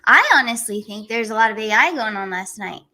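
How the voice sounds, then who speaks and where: A woman hosts a casual livestream with a bright, forceful tone and a voice a little higher than most women's. She talks in an excitable, chatty flow and laughs often. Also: a little fast. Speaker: livestream host.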